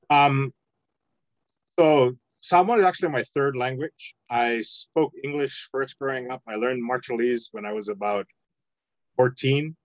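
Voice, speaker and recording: A man teaching an introductory Samoan language lesson online, explaining at a relaxed pace with frequent ums and uhs. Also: mid-range voice, no room sound, rough recording. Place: home, online video call.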